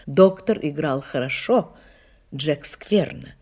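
A woman's voice: deep voice, slow pace, darkish tone. A woman narrating an audio drama from a script, in a storytelling style.

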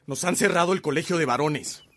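An actor playing an indignant, sorrowful college administrator in a radio drama, urgent and grave. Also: male voice, on the high side, a little fast, a bit bright. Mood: upset, angry.

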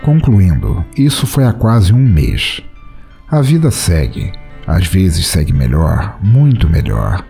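A man with a deep, mellow voice narrates a horror story in the first person, his pitch swinging widely for dramatic effect. He hosts the podcast himself, and faint music sits under his theatrical reading. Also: slow pace, gravelly. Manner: theatrical, expressive delivery.

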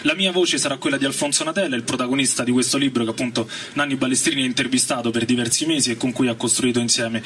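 A man's voice: mid-range voice, fairly even intonation, fast, hardly any pauses, a bit bright, husky. A man informally introducing a staged reading as its presenter and reader. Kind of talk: informal spoken introduction to an audience. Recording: distant mic with faint background noise.

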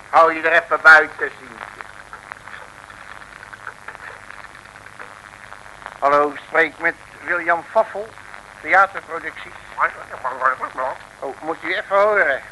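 An actor playing a brash, jovial, cheeky detective with a folksy, swaggering manner in an old Dutch radio serial. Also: male voice, fairly high pitch, sharp tone, exaggerated voice, poor audio. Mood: boastful. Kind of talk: 1950s-60s Dutch radio serial acting. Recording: old radio recording with faint noise.

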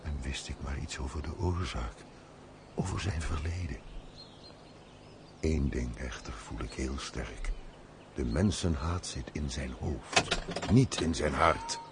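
An actor playing an earnest, deferential manservant in a radio play, speaking formally and theatrically. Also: male voice, very deep voice, slow pace, pauses often. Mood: troubled concern. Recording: noisy old radio play recording.

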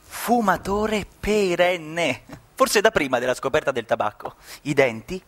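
A man's voice: fairly high pitch, expressive, a little slow, fairly bright tone. A man narrating a story as a stage actor in a radio play, with a dramatic, ironic delivery that savours each description.

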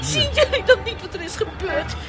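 Fearful paranoid mother, middle-aged, radio drama, widely swinging pitch, accusing, dramatic, music behind. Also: sing-song, moderate pace, a loud crowd. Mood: panicked. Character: frightened, paranoid mother on a holiday, bitter, panicky. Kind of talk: Dutch radio play acting.

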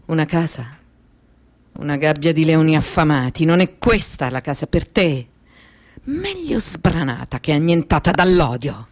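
A woman playing a lonely, searching young girl in a poetic radio drama, speaking intimately in a husky voice. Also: mid-range voice, darkish tone, dry recording. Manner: intimate, lyrical, questioning.

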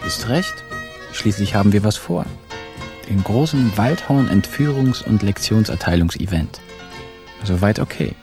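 A cool, slangy narrator in a radio crime comedy. His voice is fairly low, his phrasing clipped and ironic, with music clearly underneath. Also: animated, measured pace. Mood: wry. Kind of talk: first-person radio play narration.

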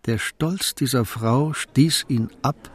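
A man's voice: medium pitch, slow pace, natural voice. A man giving composed, literary storytelling narration in a radio drama.

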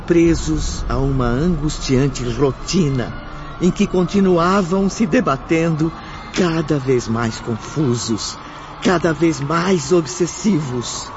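A man narrating a radio drama in a grave, measured tone. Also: on the high side, noisy background. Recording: dull lo-fi with music.

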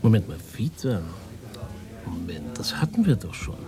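An actor playing a sceptical radio journalist in a naturalistic radio drama, with probing, ironic, short questions. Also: male voice, lowish voice, sing-song, normal speed, crowd noise. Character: sceptical, sardonic male radio journalist investigating a story.

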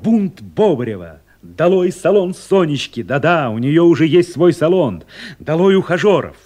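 A man's voice: a little high, very animated, moderate pace, darkish tone. A man narrating a radio drama in an emphatic, theatrical scripted style.

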